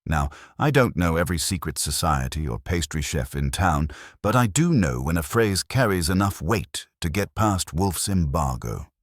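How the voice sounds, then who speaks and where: An extremely deep male voice narrates detective fiction in the first person. The delivery is wry and hard-boiled with lively intonation, and the sound is close and dry. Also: moderate pace, hardly any pauses, dry recording. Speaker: audiobook narrator.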